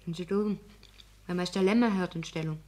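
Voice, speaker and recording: An actress playing a practical, reserved petty-bourgeois housewife in a German radio play, restrained and matter-of-fact. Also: female voice, lowish voice, brisk, dark tone, lightly acted. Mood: wary, curious. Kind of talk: 1960s German radio drama acting.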